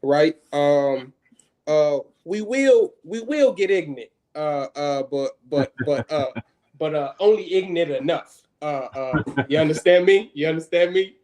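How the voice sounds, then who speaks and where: Man in his twenties, slightly higher voice, loose and slangy co-host. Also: animated, a little slow, darkish tone. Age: 20s. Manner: laid-back, loose slang. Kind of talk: casual livestream chat.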